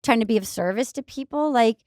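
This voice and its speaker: A woman in her forties with a high voice, chatting candidly on a podcast in an animated, rambling way. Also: brisk, no room sound, clean studio sound. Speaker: guest comedian. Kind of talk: casual podcast chat.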